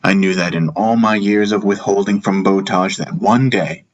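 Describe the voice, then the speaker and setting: A man voicing a sardonic, world-weary king who speaks haltingly to his brother in a fantasy audiobook. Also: sits low, highs cut off, natural voice. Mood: hesitant, confessional. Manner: sarcastic, halting, hesitant. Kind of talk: fantasy audiobook character acting.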